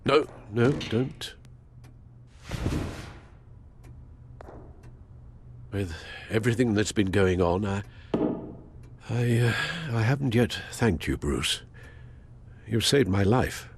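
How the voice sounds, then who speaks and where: A man's voice: on the low side, lively intonation, slow, gravelly, background noise, exaggerated voice. An actor playing a loyal, injured elderly English butler in a video game, formal and fatherly, with a British accent. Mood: weary, grateful. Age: older. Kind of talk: dramatic video game acting.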